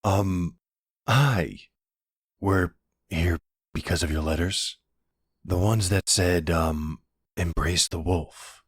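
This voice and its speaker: A man playing a nervous, affectionate boyfriend in an intimate audio roleplay, hesitant and gently teasing. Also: low voice, slow, long pauses, husky, no room sound, studio quality, exaggerated voice. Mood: hesitant, uneasy.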